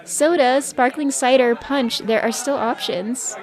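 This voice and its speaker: A woman's voice: high voice, a little slow. A woman playing an easygoing, upbeat teammate in audio drama dialogue. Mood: cheerful, reassuring.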